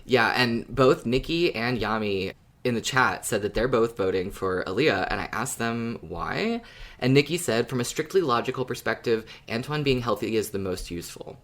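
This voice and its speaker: A man's voice: medium pitch, animated, moderate pace, a bit bright. A man co-hosting a podcast, chatting casually and reflectively with hesitant phrasing. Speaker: podcast co-host. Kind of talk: casual fan discussion chat.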